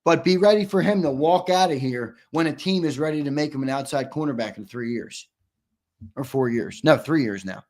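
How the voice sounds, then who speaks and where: A hoarse-sounding man hosting a live sports talk show, pushing back hard on viewer comments. He pitches his voice a little high and keeps hammering his points with repetition. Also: normal speed, darkish tone. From United States. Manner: argumentative, emphatic, repeats himself for stress.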